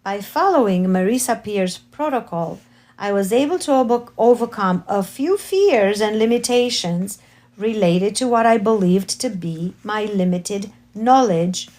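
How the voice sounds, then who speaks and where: A woman with a Romanian accent, not a native English speaker, reading her spiritual memoir aloud slowly and carefully, with occasional self-corrections. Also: medium pitch, lively intonation, darkish tone. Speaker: author reading her book.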